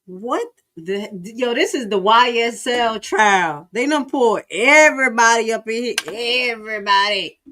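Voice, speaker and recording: An American woman hosting a live reaction stream in casual, colloquial speech with drawn-out, emphatic exclamations. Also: fairly high pitch, sharp tone. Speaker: livestream host.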